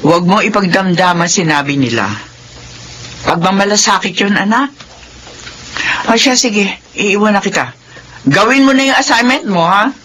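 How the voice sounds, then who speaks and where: A woman's voice: medium pitch, normal speed, pauses often, fairly bright tone, a little hiss or hum, natural voice. A woman with a Filipino accent acting a caring, worried middle-aged mother in a radio drama. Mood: gently concerned. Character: worried, caring widowed-age mother of grown children.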